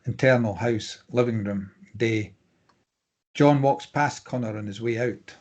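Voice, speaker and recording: A man's voice: mid-range voice, a little slow, a little mellow. A man reading out screenplay stage directions as scripted narration.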